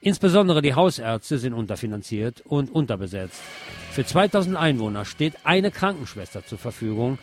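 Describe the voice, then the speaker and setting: A man's voice: mid-range voice, very animated, normal speed, soft background music. A man hosting a program, reading press articles aloud and adding brief comments of his own.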